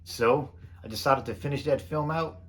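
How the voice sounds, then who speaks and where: A middle-aged American man reading a scripted voice-over in a measured, plain explanatory way, as the host of a photography video. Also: mid-range voice, fairly bright tone, a little hiss or hum. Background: background music.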